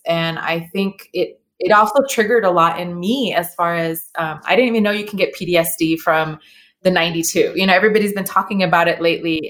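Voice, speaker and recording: Earnest woman guest, slightly low voice. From El Salvador, raised in Los Angeles. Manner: reflective, earnest, with frequent fillers and restarts. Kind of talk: conversational interview.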